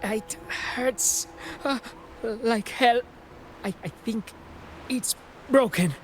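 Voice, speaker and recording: A woman's voice: fairly high pitch, slow pace, background noise. A woman playing an injured pilgrim in a video game, gasping in pain.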